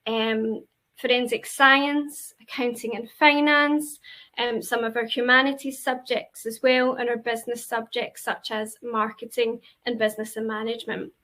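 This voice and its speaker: A woman's voice: on the high side, level tone, normal speed, bright, forceful tone. A woman with a Glaswegian accent giving an enthusiastic presentation to prospective students, with frequent fillers. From Scotland. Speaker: university representative.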